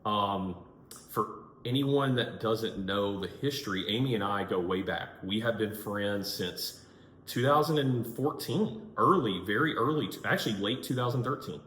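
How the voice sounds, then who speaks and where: A man's voice: fairly low pitch, lively intonation, moderate pace, fairly bright tone. A man hosting a podcast and interviewing a guest in a casual, conversational way. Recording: echoey room, clear background noise.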